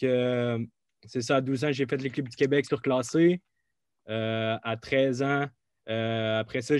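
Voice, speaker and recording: A man's voice: mid-range voice, a little mellow, no room sound. Young Québécois man, guest athlete, hesitant, dry close recording. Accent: Québécois French. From Quebec. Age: young adult. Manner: hesitant, many fillers and restarts. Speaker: guest, college soccer player. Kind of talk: casual interview.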